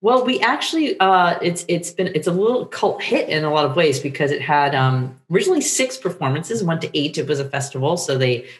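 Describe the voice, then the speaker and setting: A woman's voice: low-pitched, animated, brisk, hardly any pauses, roomy sound. A middle-aged woman talking in a rambling, enthusiastic way as an interview guest, on a video call from a home room. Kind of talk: casual interview chat. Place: book-lined home room, indoors.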